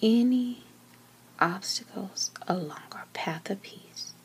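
A woman leads a guided meditation in a calm, gentle, breathy voice that often sinks to a near-whisper, speaking in short phrases with long pauses. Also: mid-range voice, a little hiss or hum. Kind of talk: slow guided meditation, soothing scripted narration.

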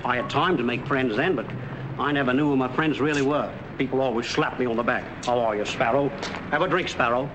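A man's voice: mid-range voice, brisk, natural voice. A man acting a friendly middle-aged working fellow in a 1940s film. He talks in a chatty, plain-spoken, folksy way, over a noisy vintage soundtrack. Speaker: actor.

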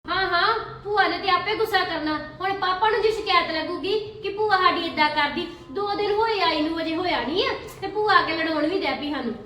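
A woman acts out a quick-tempered young sister-in-law in a family drama, snapping out fast, scolding lines in a very high voice. Her retorts come rapid-fire. The room around her rings with echo. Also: sharp tone, distant mic. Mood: sarcastic.

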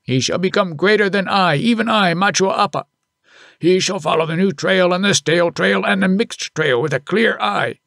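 A man's voice: high-pitched, moderate pace, vocal fry, a bit bright, no echo, clean studio sound, pitched above the performer's own voice. A man voicing a proud veteran head elephant driver, declaiming exultantly in an audiobook story. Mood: proclaiming.

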